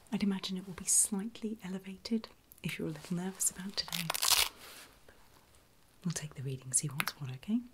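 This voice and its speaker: A woman speaks in a low, breathy whisper close to the microphone. She plays a medical examiner in an ASMR roleplay, gently reassuring and guiding step by step. Some background noise and small clicks sit behind her voice. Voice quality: soft, mostly unvoiced breathy whisper. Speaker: ASMR performer playing a pre-op medical examiner.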